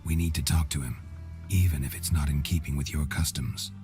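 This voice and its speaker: Very deep, gruff monster hunter. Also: male voice, fairly quick, over music. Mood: businesslike. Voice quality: gravelly. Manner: terse, deadpan. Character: gruff, stoic male monster hunter, laconic. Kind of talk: fantasy video game acting.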